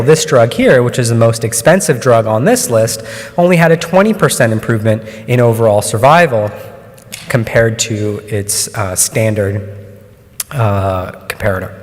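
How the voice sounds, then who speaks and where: A man, an oncologist, gives a conference talk in a measured, explanatory way, often pausing on ums and restarting phrases. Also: mid-range voice. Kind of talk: conference presentation. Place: lecture hall. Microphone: microphone at a lectern. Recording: long hall reverb.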